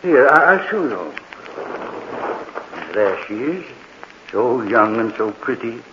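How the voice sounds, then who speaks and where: An actor playing a gentle, eccentric old morgue keeper in a 1940s radio mystery, talking in a soothing, earnest, rambling way on a dull vintage recording. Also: male voice, medium pitch, sing-song, takes it slowly, in a large hall, noisy background. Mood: mild, friendly. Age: older.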